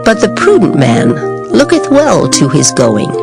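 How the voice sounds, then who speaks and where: Scripture reader, mid pitch, very animated intonation, music playing underneath. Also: unhurried, gravelly. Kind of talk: dramatized scripture reading. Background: background music.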